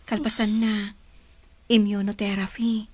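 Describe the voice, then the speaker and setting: An actress playing an earnest, devoted young woman with a breathy voice in a melodramatic radio drama. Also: mid-range voice, flat delivery, unhurried, a little mellow, no echo, lightly acted. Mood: reassuring. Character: devoted, earnest young woman supporting her sick sister.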